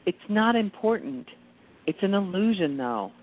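A woman playing a calm, cool, sanctimonious friend who pries into feelings in a radio play. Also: medium pitch, unhurried, a little hiss or hum. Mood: earnest, soothing. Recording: thin phone-like audio.

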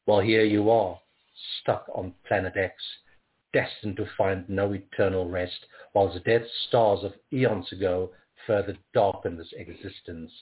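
A man from Africa, reading his poems aloud in a measured, rhythmic way. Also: low-pitched, fairly even intonation. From South Africa. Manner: measured, rhythmic recitation with pauses. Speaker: poet. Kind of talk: poetry reading. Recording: tinny phone-like audio.

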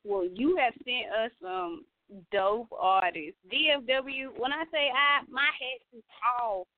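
A woman's voice: a little high, takes it slowly, dry recording. Slangy woman host on a tinny line. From United States. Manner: relaxed, slangy, thinks aloud with fillers. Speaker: radio show host. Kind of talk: casual radio talk. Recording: tinny phone-line sound.

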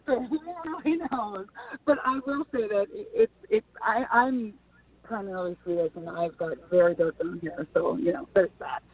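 A woman's voice: mid-range voice, normal speed, breathy edge. Chatty woman guest on a tinny line. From Minnesota. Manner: chatty and animated, frequent restarts. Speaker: guest, TV and news personality. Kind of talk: casual interview answers.

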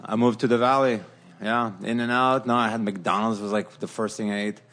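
A man with a low-set voice chats as a barbecue pitmaster on a podcast. He was raised in southern Africa and Israel, and he tells his stories with animated energy, often breaking into laughter. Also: moderate pace. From born in southern Africa, raised in Israel. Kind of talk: casual podcast chat.

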